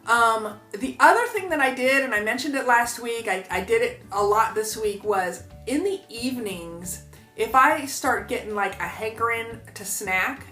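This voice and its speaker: A woman's voice: medium pitch, fairly bright tone, reverberant. A woman in her fifties vlogging in a chatty, upbeat way, full of fillers and asides to her viewers. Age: 50s. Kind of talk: casual talk to camera.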